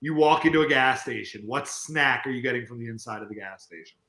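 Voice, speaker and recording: A man co-hosting a podcast, asking quick, upbeat questions in casual chat. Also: mid-range voice, pushed and bright, reverberant. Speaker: podcast co-host.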